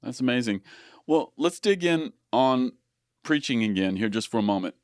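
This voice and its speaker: A man's voice: medium pitch, lively intonation, moderate pace, dry recording, studio quality. A man in his forties hosting a podcast interview, earnest and encouraging, asking long wordy questions. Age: 40s. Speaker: podcast host.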